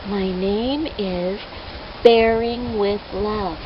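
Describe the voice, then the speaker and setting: A woman voicing a gentle, contented little flower in a children's story, earnest and simple. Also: medium pitch, steady tone, drawn-out words, pitched below the performer's own voice. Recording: muffled, noisy amateur recording.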